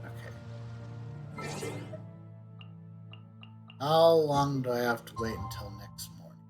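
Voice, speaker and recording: Laid-back male gamer, rambling commentary, mid-pitched voice, game music loud behind. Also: expressive, takes it slowly. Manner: casual, rambling, thinking aloud. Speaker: streamer. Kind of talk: gameplay commentary.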